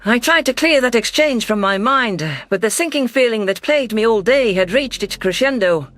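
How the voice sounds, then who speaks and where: A man's voice: extremely high pitch, expressive, moderate pace, fairly bright tone. A man reading a science fiction story aloud as a dramatic first-person narration. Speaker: audiobook narrator. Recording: music bed under voice.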